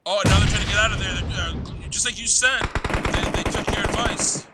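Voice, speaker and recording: A man with a somewhat high voice gives live analysis of an online shooter match, explaining tactics like a coach. Gunfire and vehicle roars from the game sit clearly under his voice. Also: steady tone, normal speed, heavy background noise. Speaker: esports caster and analyst.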